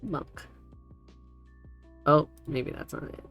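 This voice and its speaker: A woman's voice: slow pace, fairly bright tone, faint music behind. A woman hosting a tutorial livestream in casual chat. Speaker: tutorial stream host.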